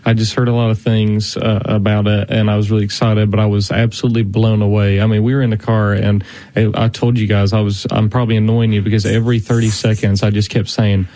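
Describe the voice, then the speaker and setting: A man's voice: lowish voice, level tone, fast talker, warm tone, dry recording. A young man, chatting as a guest on a church radio show, rambling with lots of fillers. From Florida Panhandle, USA. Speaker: guest preacher. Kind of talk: casual chat.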